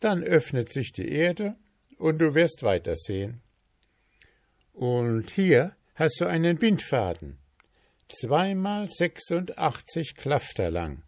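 A man voicing a gruff, bearded old dwarf king in a storybook reading, stern and grudging. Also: a little high, sing-song, takes it slowly, a little mellow, no room sound, clean studio sound, pitched above the performer's own voice, heavily acted. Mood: commanding.

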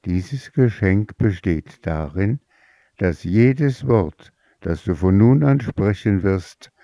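A man voicing a fairy in disguise who speaks calmly and deliberately, in a slow storybook reading. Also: low-pitched, dry recording, studio quality, heavily acted. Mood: kindly. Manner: calm, measured. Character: fairy disguised as old woman, gentle then stern.

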